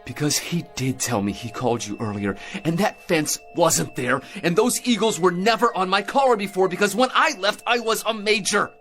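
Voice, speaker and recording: A troubled, animated American astronaut husband. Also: male voice, high voice, soft background music. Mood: troubled, insistent. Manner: halting, earnest delivery with repeated words. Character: troubled male astronaut, shaken husband insisting he is rational. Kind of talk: radio drama acting.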